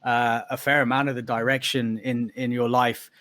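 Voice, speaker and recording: A man's voice: medium pitch, level tone, normal speed, sharp tone, no echo. A man hosting an online interview session, rambling with many fillers and restarts. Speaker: host. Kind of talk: video call interview hosting.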